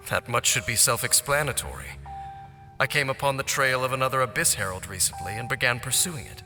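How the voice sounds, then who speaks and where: A man playing a solemn, guarded wandering swordsman who speaks in a measured, formal way in a game cutscene. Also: mid-range voice, bright, forceful tone. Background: game score music with strings.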